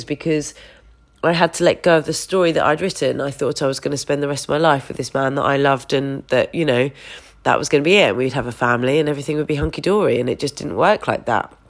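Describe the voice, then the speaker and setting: Woman, thirties, bunged-up nose, candid podcast host, lots of fillers, phone recording on a sofa. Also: very deep voice. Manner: candid, gently meandering with many fillers. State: congested, stuffy nose. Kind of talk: reflective solo podcast. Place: home sofa.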